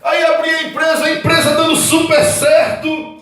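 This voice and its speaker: A Brazilian pastor in his forties preaching a sermon with fervor, swinging from loud outbursts to low, earnest tones. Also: flat delivery, normal speed, soft background music. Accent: Brazilian Portuguese. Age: 40s. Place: church hall. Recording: echoey hall, mic at a distance.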